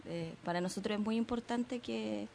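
A woman from Santiago, a theater actor on a radio interview, speaking hesitantly with many pauses. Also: medium pitch, creaky, darkish tone, a little hiss or hum. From Santiago, Chile.

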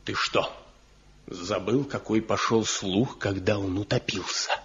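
A man's voice: lowish voice, fairly even intonation, measured pace, a bit bright. An actor playing a proud, scornful younger village craftsman in a radio drama, insistent and sardonic. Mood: agitated, stern. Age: young adult. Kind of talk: Soviet radio drama acting. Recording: dull 1970 radio play recording.

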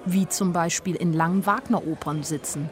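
Casting: a woman plays an artless young storyteller, slightly low voice, dry observations, first-person literary radio play. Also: moderate pace, a crowd in the background, natural voice. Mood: dry, observant. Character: naive, wryly observant young woman telling her story. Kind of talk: literary radio drama narration.

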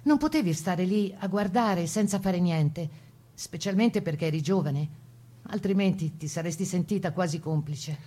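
A woman acting a sober, mellow-voiced woman partisan. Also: lowish voice, moderate pace, breathy edge. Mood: sober, recollecting. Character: Italian partisan women recalling the Resistance, sober and resolute. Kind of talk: dramatised testimony reading for radio.

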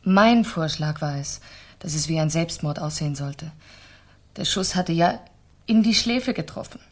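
An actress playing an anguished, pleading French wife confessing in a German radio crime drama, with a halting, urgent delivery. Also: female voice, sits low, animated. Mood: shaken, confessing.

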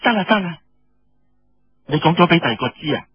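A man's voice: on the high side, expressive, brisk, husky, no echo, lo-fi sound, faint music behind, pitched above the performer's own voice. A man voicing a shrewd, firm young woman general who teases and scolds, in an audiobook story. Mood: stern warning.

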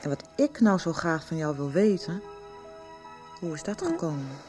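An actress playing a concerned, insistent doctor questioning a young patient in a radio soap. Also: female voice, low voice, big pitch swings, dark tone, faint music behind. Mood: probing, gentle. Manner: direct, insistent, deliberate questioning. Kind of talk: Dutch radio soap acting.

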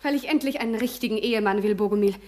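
Give me a woman playing a cool, dry-witted young radio-drama heroine with a slightly high voice. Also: rapid-fire, close to an everyday voice. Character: cool, sharp-tongued young woman with a pistol. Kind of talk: German radio drama acting.

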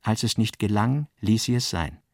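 Guy narrating a literary radio play, lowish gravelly voice, dry studio sound. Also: expressive, moderate pace, dry recording.

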